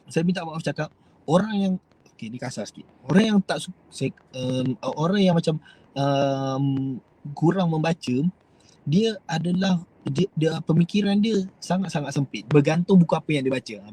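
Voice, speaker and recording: A man's voice: fairly high pitch, moderate pace, mellow, breathy edge, low-quality recording. A young Malaysian man with a Malaysian Malay accent, giving a rambling, hesitant motivational talk to his viewers. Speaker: host.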